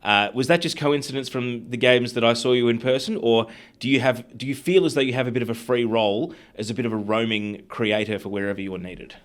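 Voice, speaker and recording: Fast-talking Australian man hosting sports interview. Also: medium pitch, steady tone. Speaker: interviewer and show host.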